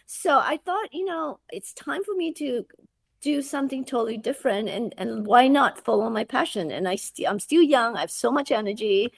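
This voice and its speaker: A middle-aged woman from Malaysia, a guest talking in an animated, conversational way with many restarts. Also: fairly high pitch, normal speed, gravelly, dry recording. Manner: animated, with frequent restarts and "you know". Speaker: guest, food subscription founder. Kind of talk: conversational interview.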